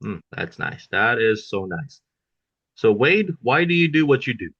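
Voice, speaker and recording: A man hosting a podcast interview with casual questions, speaking haltingly and repeating words, on a dull lo-fi remote call. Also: medium pitch, very animated, a bit bright, slightly rough, no room sound. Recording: dull lo-fi voice track.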